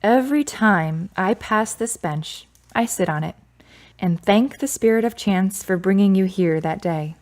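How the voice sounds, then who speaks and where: A woman's voice: medium pitch, a little slow, natural voice. A woman playing a frank, self-assured character in a radio drama, speaking in an articulate, wry, wordy way. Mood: tender, earnest.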